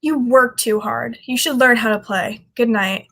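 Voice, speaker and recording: A woman's voice: fairly high pitch, a little slow, a bit bright. A woman playing a charming, greedy young café proprietor of the underworld in a radio drama, teasing and wheedling. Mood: confiding, coaxing. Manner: wheedling, playful haggling. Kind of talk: 1940s radio drama acting.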